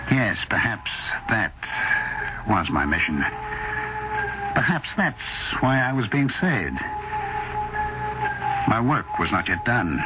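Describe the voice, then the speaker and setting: A man's voice: lowish voice, sing-song, slow pace, pauses often, rough recording. A man acting in a radio suspense drama, playing a brooding writer who grows convinced of his destiny, musing intensely in dramatic questions. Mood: musing, awed. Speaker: actor and first-person narrator. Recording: old radio drama with music underneath.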